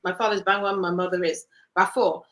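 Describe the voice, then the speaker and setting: A woman's voice: lowish voice, level tone, a little fast, a bit bright. A woman from Cameroon, an interview guest telling her story in an earnest, reflective way.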